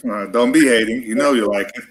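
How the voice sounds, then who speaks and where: A man's voice: medium pitch, fairly quick, low-quality recording. A man in his forties chatting casually on a live stream, talkative and rambling, with restarts and the odd curse. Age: 40s. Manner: talkative, rambling, with frequent restarts and mild cursing. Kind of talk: casual chat.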